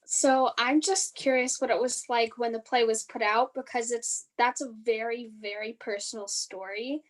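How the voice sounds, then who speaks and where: A woman's voice: on the high side, fairly even intonation, normal speed. A woman in an audience, casually asking a question.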